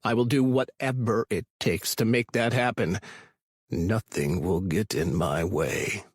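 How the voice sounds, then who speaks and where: An obsessive male stalker narrates a thriller audiobook with quiet menace. His voice is husky and fairly low, and he speaks slowly and deliberately in a close, dry recording. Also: fairly even intonation, dry recording. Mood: obsessive, menacing. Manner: slow, deliberate, possessive.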